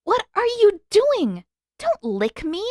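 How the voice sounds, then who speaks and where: A woman voicing an exasperated, indignant teenage girl with sharp, emphatic retorts in a story reading. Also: extremely high pitch, wildly swooping pitch, takes it slowly, no echo, studio quality, voice pushed up, exaggerated voice. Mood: disgusted.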